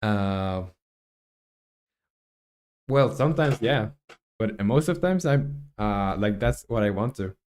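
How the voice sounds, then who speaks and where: A man who speaks Spanish natively, talking casually on a live game development stream, thinking aloud in a halting, hesitant way and reading out chat. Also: fairly low pitch, lively intonation, a little mellow, a little hiss or hum.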